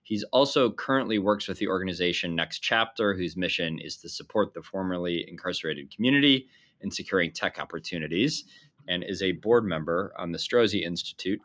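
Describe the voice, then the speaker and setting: A man hosting a leadership podcast, reading a scripted intro and then interviewing a guest in a measured, friendly way. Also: low-pitched, fairly bright tone. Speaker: podcast host and executive coach.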